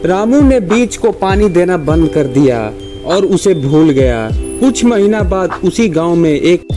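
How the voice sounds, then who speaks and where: Male narrator for children's moral stories, slightly high pitch, unhurried measured read over a prominent music bed. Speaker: storyteller.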